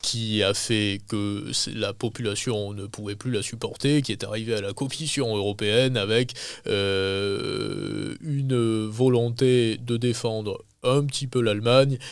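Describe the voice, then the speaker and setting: A man from France, speaking as a guest in a podcast interview, giving measured, emphatic, critical commentary. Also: on the low side, fairly even intonation, clear, resonant tone, no echo. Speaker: guest analyst.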